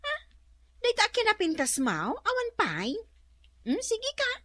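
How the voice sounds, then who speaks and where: A woman's voice: extremely high pitch, huge pitch swings, measured pace, a bit bright, no echo, studio quality, full character voice. A woman playing an eager, teasing younger woman in an Ilocano comedy radio drama, coaxing, with an Ilocano accent.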